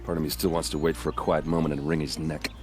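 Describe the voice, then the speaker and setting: An actor voicing a blunt, wry warrior captain, loyal and grieving, in a cinematic video game. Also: male voice, very deep voice, level tone, fast talker, soft background music. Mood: reflective. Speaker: captain of the guard.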